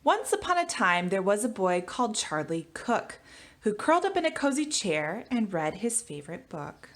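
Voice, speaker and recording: A woman teacher giving a storybook read-aloud for children, with expressive delivery. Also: medium pitch, moderate pace. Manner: expressive, animated reading. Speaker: elementary school teacher.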